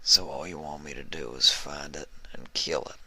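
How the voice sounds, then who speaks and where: A man voicing a grizzled old outlaw stallion in a story reading, wry and gruff, with a gravelly, breathy rasp and a slow, folksy Old West drawl. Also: a bass voice, sharp tone, dry recording, exaggerated voice, rough recording. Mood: skeptical.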